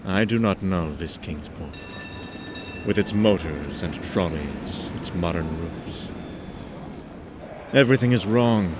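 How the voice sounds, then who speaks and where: A man performing a haunted, horror-struck narrator of eldritch horrors in a theatrical, deliberate gothic style. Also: sits low, noisy background. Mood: bleak, haunted. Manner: theatrical, deliberate, swinging from hushed whispers to anguished cries.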